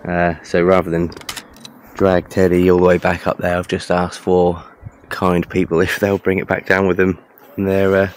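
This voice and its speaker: A man's voice: sits low, monotone, normal speed, a little hiss or hum. A middle-aged British man chatting casually outdoors as he presents a hands-on gear review. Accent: British English. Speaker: vlogger reviewing walkie-talkies. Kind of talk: casual outdoor vlog chat. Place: outdoors, windy.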